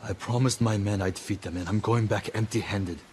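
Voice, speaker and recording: A man's voice: on the low side, steady tone, brisk, a little mellow, a little hiss or hum, natural voice. A man acting a proud, hot-tempered warrior leader in a video game, brash and emphatic. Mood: frustrated, insistent.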